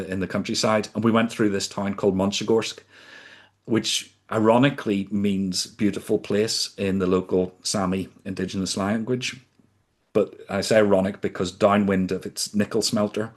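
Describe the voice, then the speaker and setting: A man in his fifties from the UK, a podcast guest recounting his career in a measured, deliberate way. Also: on the low side. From United Kingdom. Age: 50s. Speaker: podcast guest, sustainability consultant. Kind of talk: reflective storytelling in conversation.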